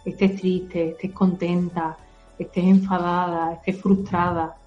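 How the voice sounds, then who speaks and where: An adult woman calmly explaining ideas to a child and reassuring her, using simple comparisons. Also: on the low side, measured pace, muffled recording, faint music behind. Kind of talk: gentle explanatory talk to a child.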